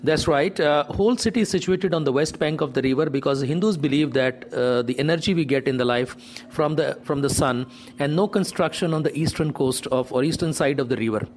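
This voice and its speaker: Tour director, explanatory. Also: male voice, a little high, a little fast, a little mellow. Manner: explanatory, slightly nonstandard grammar. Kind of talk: on-location conversation.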